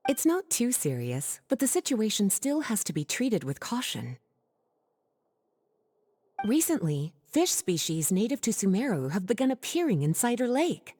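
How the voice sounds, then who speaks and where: An actress playing a poised, gently teasing woman in a video game, with languid, articulate, coaxing delivery. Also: mid-range voice, very animated, moderate pace, slight background noise, lightly acted. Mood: calm explaining. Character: Lisa, poised, teasing adult woman, caring librarian. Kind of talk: video game cutscene voice acting.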